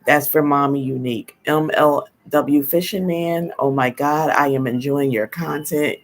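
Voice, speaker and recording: A woman hosting a casual live stream. She is congested from a head cold and greets viewers slowly, with frequent ums. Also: low-pitched. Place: at home in New Jersey.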